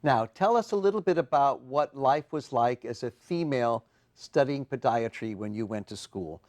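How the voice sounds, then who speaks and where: A man's voice: mid-range voice, expressive, moderate pace, darkish tone. An older man hosting a studio talk show, speaking in a chatty way and asking strings of questions. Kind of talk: TV studio interview. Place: TV studio set.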